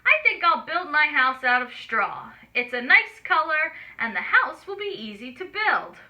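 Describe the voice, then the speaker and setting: A woman's voice: high voice, big pitch swings, unhurried, sharp tone, voice pushed up, heavily acted. A woman voicing an eager, carefree young boy piglet in a children's storybook reading. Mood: breezy, confident.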